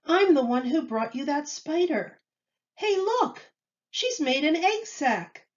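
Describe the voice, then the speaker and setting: Woman playing high-pitched, excited little boy. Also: slow, muffled recording, exaggerated voice. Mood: eager. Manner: exclaiming, eager.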